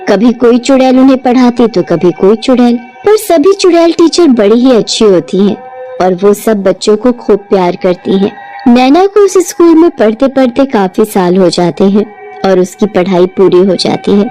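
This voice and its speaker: Woman, storybook narrator, mellow, slightly high, gentle even reading, faint music behind. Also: normal speed, low-quality recording. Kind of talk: scripted storybook narration for an animated cartoon.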